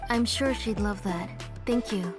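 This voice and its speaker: A woman playing a caring, protective mother in a video game, speaking in a gentle, fussing manner. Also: medium pitch, measured pace, over music, lightly acted. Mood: kindly.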